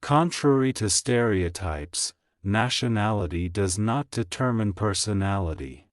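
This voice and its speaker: A man reading English practice sentences aloud, slowly and clearly, for learners to repeat. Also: lowish voice, no echo, studio quality. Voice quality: plain, even reading of each sentence, repeated. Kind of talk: slow, clear scripted reading for shadowing practice.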